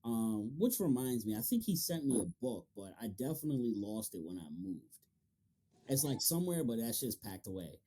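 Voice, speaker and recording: Male interview host, relaxed dark timbre, emphatic and slangy, curses freely in loose conversation. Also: medium pitch, moderate pace, slight background noise, low-quality recording. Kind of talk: casual, profanity-heavy podcast chat.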